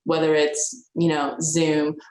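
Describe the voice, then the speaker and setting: A woman's voice: deep voice, completely flat delivery, takes it slowly, darkish tone. A woman college instructor talking informally to students, earnest and encouraging, often repeating words as she restarts. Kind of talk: informal talk to students about office hours. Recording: roomy echo.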